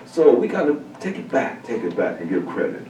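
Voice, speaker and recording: A man hosting a talk show, speaking emphatically and a bit preachily, his pitch swinging widely as he makes his points. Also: sing-song, a little fast, dark tone, a little hiss or hum. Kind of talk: casual interview. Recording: roomy sound from a distant mic.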